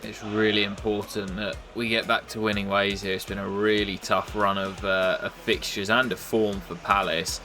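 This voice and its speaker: A young man with a nasal voice from a cold, commentating his football game in a casual play-by-play that jumps up excitedly at big moments. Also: moderate pace, few pauses, faint music behind. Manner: excitable, bursts up in pitch at goals and misses. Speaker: gaming YouTuber commentating his career mode.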